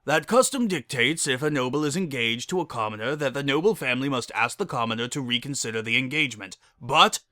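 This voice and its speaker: Man playing a formal, bright-voiced noble unicorn father. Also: medium pitch, lively intonation, dry recording, studio quality. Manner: formal, measured, matter-of-fact. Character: stuffy, composed middle-aged noble unicorn father.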